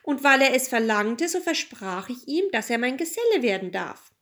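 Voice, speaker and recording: Young fairy-tale princess, woman performer: high voice, spoiled, fretful, haughty. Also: sing-song, normal speed, a bit bright. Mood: uneasy.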